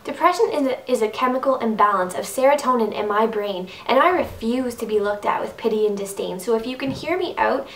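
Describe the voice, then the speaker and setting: A young adult woman performing a slam poem in an earnest, emphatic, rhythmic style. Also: a little high. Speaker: slam poet. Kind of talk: slam poetry recitation. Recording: roomy, distant mic, faint noise.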